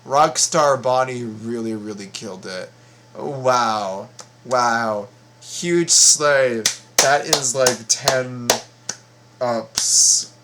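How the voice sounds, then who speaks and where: Slow-talking man reacting casually, repeating himself. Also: mid-range voice, fairly bright tone, a little hiss or hum. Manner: halting, repeats words and phrases. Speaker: reaction video host.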